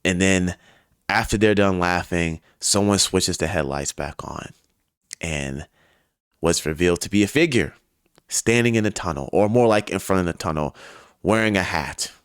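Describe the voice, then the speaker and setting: Low-voiced man narrating a dramatic video essay. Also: moderate pace, smooth, no echo, clean studio sound.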